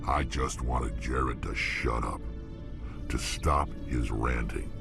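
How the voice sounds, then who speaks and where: An actor playing a blunt, hulking male alien mercenary who speaks slowly and gruffly. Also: a bass voice, full character voice. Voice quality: gravelly. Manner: slow, blunt, growling. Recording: game audio with music under it.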